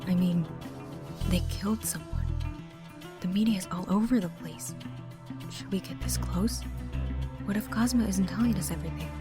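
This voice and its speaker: A woman's voice: medium pitch, normal speed, pauses often, music in the background, close to an everyday voice. A woman voicing a cautious, teasing teenage boy in a fan audio drama, wry and doubtful. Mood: worried.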